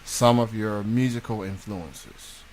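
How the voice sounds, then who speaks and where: A man's voice: lowish voice, steady tone, slow, a little mellow, slight background noise, poor audio. A man hosting a music interview show, easygoing and hesitant with ums. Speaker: radio show host. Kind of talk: casual interview.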